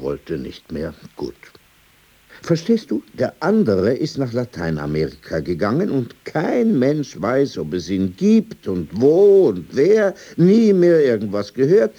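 An actor playing an older, pedantic, courteous gentleman in a German radio play, speaking in short, emphatic phrases. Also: fairly low pitch, slightly rough, full character voice. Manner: halting short phrases, formal politeness, emphatic. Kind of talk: radio play dialogue and storytelling.

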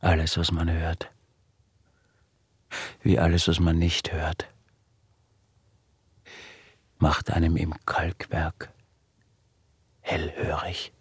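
An actor playing an obsessive recluse in a radio drama monologue, brooding and halting, with a husky, breathy voice that is often close to a whisper. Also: male voice, deep voice, monotone, no room sound, heavily acted. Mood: hushed, intent. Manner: halting, brooding, insistent repetition. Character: obsessive male recluse tormented by his acute hearing.

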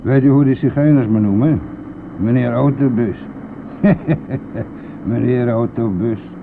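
Gruff bus driver, thin noisy recording. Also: male voice, medium pitch, measured pace. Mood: gruff, boastful. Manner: brusque, exasperated, boastful. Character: gruff, impatient, talkative male country bus driver. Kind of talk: radio play dialogue. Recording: noisy, thin, phone-like old radio recording.

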